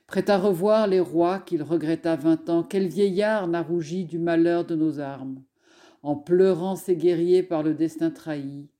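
A woman scholar reading poetry aloud in a solemn, measured, declamatory recitation. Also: deep voice, warm tone.

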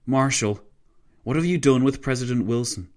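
Man playing a shrewd, pointed prime minister, raised pitch. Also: normal speed, darkish tone, lightly acted. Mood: challenging. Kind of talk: dramatised historical narration.

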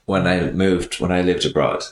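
A man's voice: low-pitched, fairly even intonation, normal speed, vocal fry, roomy sound. An Irish man co-hosting a podcast, telling a story in casual chat. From Ireland. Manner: chatty anecdotal storytelling. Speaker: podcast co-host.

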